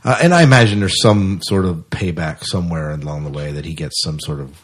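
Guy podcast host, lowish rough husky voice, rambly casual chat, repeats himself. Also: brisk. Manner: rambling, repeats his phrases.

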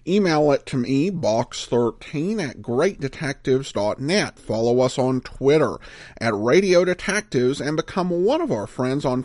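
American male podcast host, lively swooping pitch, conversational and slightly halting, dry recording. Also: dry recording. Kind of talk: informal scripted introduction to old-time radio programs.

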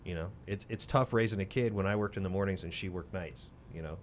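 A middle-aged man with an American accent and a fairly low voice talks as a radio host in an interview. He tells stories candidly and hammers points home with short, repeated phrases. A rumbling noise sits behind him. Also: fast. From raised in Singapore, later moved to the United States. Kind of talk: candid, conversational interview talk with storytelling.

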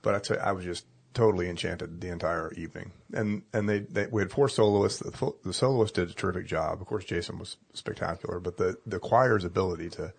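Hoarse pastor guy, real low husky voice, chatty and animated. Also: fairly quick, few pauses. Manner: chatty, animated storytelling with laughs. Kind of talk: casual chat.

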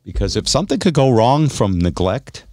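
A man hosting a casual radio show, bantering in a wry, deadpan way. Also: medium pitch, normal speed, no room sound, studio quality.

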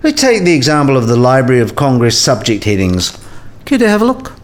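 A man's voice: medium pitch, huge pitch swings, a little fast. A man playing a learned professor in a radio play, explaining patiently and precisely and asking probing questions. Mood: didactic, patient.

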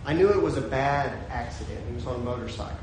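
A man preaching a sermon, his voice swinging from shouted peaks to a hushed storytelling tone. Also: mid-range voice, fast talker, roomy sound, a little hiss or hum, low-quality recording. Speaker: pastor.

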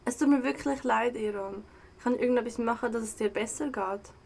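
A young woman with a Swiss German accent playing a kind, apologetic partygoer in an audio drama, speaking gently and hesitantly. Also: a little high, brisk, gravelly, slight background noise. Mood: apologetic, tender. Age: young adult. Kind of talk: amateur audio drama dialogue. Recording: music underneath.

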